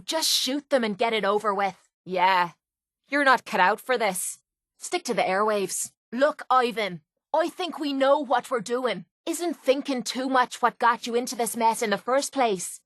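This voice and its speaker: A woman's voice: fairly high pitch, a bit bright, dry recording, studio quality. A woman playing a cynical, defiant young female brawler in a video game, with an Irish accent and a blunt, sardonic, slangy delivery. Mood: impatient, mocking. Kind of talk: video game character dialogue.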